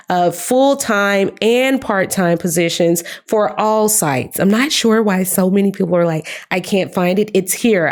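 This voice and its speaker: A young woman talks to her viewers in a casual, upbeat vlog, her voice sitting a little low. She is hoarse and her voice is giving out, but she keeps chatting and calls her viewers "guys.". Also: a little mellow. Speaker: career advice vlogger. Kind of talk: casual talk to camera.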